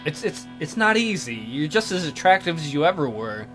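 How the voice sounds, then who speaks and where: A man voicing an awkward, apologetic male schoolteacher from a visual novel in a hesitant, halting way. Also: a little high, sing-song, a bit bright. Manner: hesitant, halting, casually read. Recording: music behind the voice.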